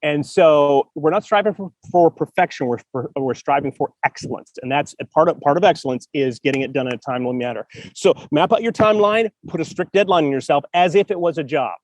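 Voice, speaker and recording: A man's voice: a little high, a little fast, few pauses, darkish tone, no room sound. A man hosting a podcast, giving encouraging writing advice in casual chat, often restarting phrases and laughing. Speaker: podcast host.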